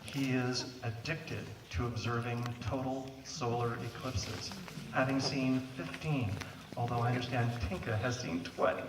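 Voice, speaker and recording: A mid-pitched man reads a measured, dryly witty introduction. He is caught from a distance with a roomy echo and a long tail on every phrase. Steady background ambience sits behind him. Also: background noise. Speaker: event host introducing the speaker. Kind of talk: scripted formal introduction. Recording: echoey, distant phone recording.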